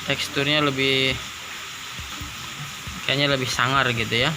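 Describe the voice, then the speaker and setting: A man's voice: medium pitch, flat delivery, a little slow, poor audio. A man giving a casual unboxing commentary, informal and thinking aloud as he goes. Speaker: product reviewer. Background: music.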